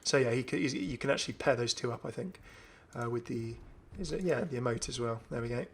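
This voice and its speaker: A man's voice: medium pitch, quick pace, creaky, breathy edge. A man giving casual, off-the-cuff video game commentary as a host, chatty and often musing aloud. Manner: chatty, musing aloud, asks himself questions.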